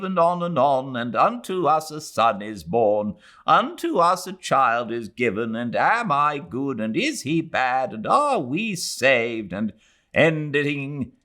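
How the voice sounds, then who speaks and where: Bitter elderly Englishwoman played by a man, audiobook; raised swooping pitch, weary, emphatic, scornful of everyone. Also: a bit bright. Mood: fretful, weary. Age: older. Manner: rambling, emphatic, repetitive. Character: irritable, bitter, weary old Englishwoman, disdainful and brooding.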